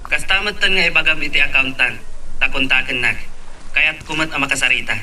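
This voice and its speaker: A commanding businessman played by an actor in a radio drama, speaking with a very sharp, forceful tone. His voice is a bit high for a man, curt and a little off the mic. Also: brisk, recorded from a distance, slight background noise. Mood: businesslike, commanding. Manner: curt, authoritative. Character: brisk, commanding businessman giving orders to staff.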